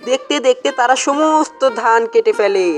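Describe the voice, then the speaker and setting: A woman's voice: high voice, normal speed, soft background music. A woman reading scripted storybook narration for a cartoon.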